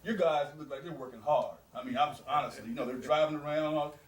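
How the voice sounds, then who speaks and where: A man's voice: fairly high pitch, fast. A man interviewing in a casual, informal way, building his questions out of everyday examples. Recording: echoey room, distant mic, faint noise.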